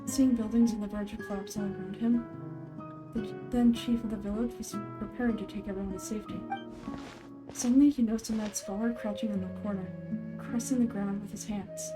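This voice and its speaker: A woman plays a solemn villager from a fantasy game, recounting an old local legend. The telling is measured storytelling over music. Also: mid-range voice, fairly even intonation, natural voice, low-quality recording.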